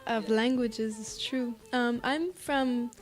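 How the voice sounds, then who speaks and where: An American woman speaking casually in an interview as a singer-songwriter, thoughtful and halting, often pausing mid-phrase. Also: a little high, steady tone. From United States. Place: apartment.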